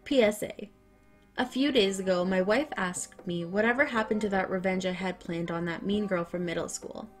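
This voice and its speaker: A woman reading online stories aloud, then reacting to them with loud, animated outbursts. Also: medium pitch, moderate pace, soft background music. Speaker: story narrator.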